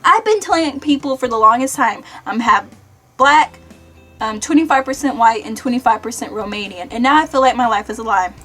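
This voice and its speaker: High-voiced young American woman, chatty. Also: a little fast, fairly bright tone. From Kentucky, United States. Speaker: vlogger. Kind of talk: casual chatty vlog. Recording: music behind the voice.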